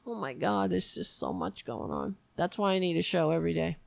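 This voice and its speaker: A woman hosting a solo political show, ranting sarcastically and going hoarse as she loses her voice. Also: on the low side, lively intonation, brisk, dry recording. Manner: ranting, sarcastic, scattered asides. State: losing her voice. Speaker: political podcast host.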